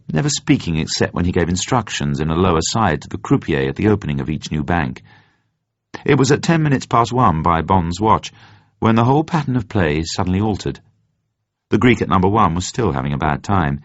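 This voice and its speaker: Man, dramatic novel narration, low pitch, deliberate storytelling, slightly dull recording. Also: sing-song. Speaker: audiobook narrator. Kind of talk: scripted dramatic novel reading. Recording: roomy, slightly dull sound.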